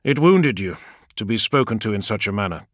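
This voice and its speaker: A man voicing a proud, fiery male war leader who speaks in a raised, forceful way. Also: lowish voice, big pitch swings, brisk, no echo, studio quality. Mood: earnest. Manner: forceful, raised, impassioned delivery.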